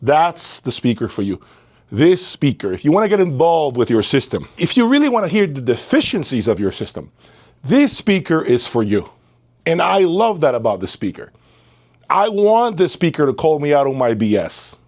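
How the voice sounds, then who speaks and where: A man's voice: a little high, very animated, normal speed, a little mellow. A man hosting a product review in casual talk to camera, speaking emphatically and repeating phrases for stress. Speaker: host of an audio equipment review channel.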